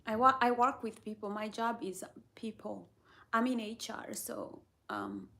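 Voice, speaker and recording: Slow, gravelly-voiced woman chatting. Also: medium pitch, a bit bright. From Kenya. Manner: unhurried, rambling, talks to viewers. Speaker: livestreamer. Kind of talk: casual live-stream chat.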